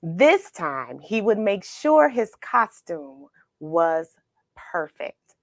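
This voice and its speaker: Animated, unhurried woman reading a storybook. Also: on the low side, no room sound, clean studio sound. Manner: expressive, dramatic pauses, asks the listener questions. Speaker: children's storybook reader. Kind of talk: animated read-aloud storytelling.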